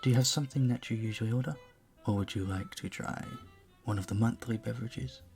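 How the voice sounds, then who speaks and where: Man playing a suave, gravelly vampire bartender. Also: mid-range voice, quick pace, a little mellow, faint music behind, close to an everyday voice. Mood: friendly, curious. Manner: suave, playful, teasing. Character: charming flirtatious male vampire bartender, teasing and attentive. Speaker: voice actor in an audio roleplay. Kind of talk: intimate scripted roleplay, speaking to the listener.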